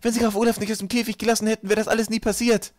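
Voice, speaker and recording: Man acting an agitated, high-pitched accusing islander. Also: little pitch movement, rapid-fire, no echo, clean studio sound, natural voice. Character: accusing, quarrelsome islanders in a panicked crowd.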